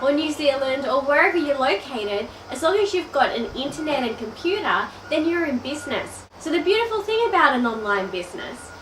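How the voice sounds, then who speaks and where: A woman's voice: sits high, lively intonation, fairly quick, hardly any pauses, a bit bright, a little hiss or hum. An Australian woman in her thirties with a stuffy nose from a cold, talking chattily to the viewer as an online business coach. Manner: chatty, addresses the viewer directly. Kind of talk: direct-to-camera video message. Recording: roomy, a bit distant from the mic.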